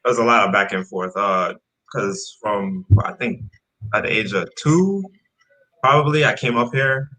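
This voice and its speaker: A man's voice: lowish voice, a little slow, poor audio. A man in his thirties from Trinidad, a rapper guest talking casually and rambling with fillers about his start in music. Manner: relaxed, rambling with fillers and restarts. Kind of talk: casual interview chat.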